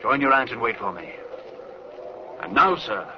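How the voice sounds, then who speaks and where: A man's voice: mid-range voice, big pitch swings, moderate pace, lightly acted. An actor playing a proud, hot-tempered Scottish clan lord and father in a declamatory old radio horror drama. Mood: furious, indignant. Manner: blustering, declamatory. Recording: muffled, hissy old radio broadcast.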